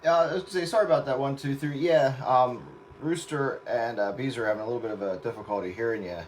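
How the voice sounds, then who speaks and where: A man's voice: mid-range voice, fast, a little hiss or hum, poor audio. A man chatting casually and in a rambling, easygoing way as he hosts a stream from his radio set. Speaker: radio operator hosting a livestream.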